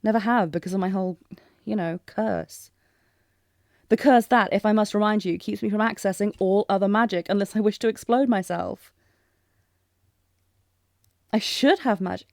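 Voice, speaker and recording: A woman's voice: mid-range voice, moderate pace, pauses often, darkish tone, dry recording, close to an everyday voice. Woman acting an earnest, genteel fantasy princess, close and dry. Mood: puzzled, wary. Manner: theatrical, breathy exclamations with genteel, old-fashioned phrasing. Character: lovestruck, flustered young fantasy princess, refined and earnest. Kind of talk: romantic audio roleplay spoken to the listener.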